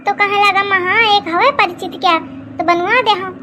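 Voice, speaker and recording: महिला की आवाज़ में बहुत ऊँची आवाज़ वाली अवधी सास. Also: female voice, very high-pitched, fairly even intonation, moderate pace, background noise. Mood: placating. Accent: Awadhi. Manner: scolding, gossipy, boastful. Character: plump middle-aged scheming, suspicious village mother-in-law. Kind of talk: Awadhi comedy cartoon dialogue.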